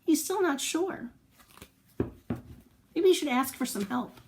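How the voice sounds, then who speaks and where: A woman with a fairly high voice hosts storytime for young children. She takes her time, speaking very slowly in simple phrases. She encourages her listeners and puts questions to them. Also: expressive, background noise. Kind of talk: read-aloud storytelling and chat to young children.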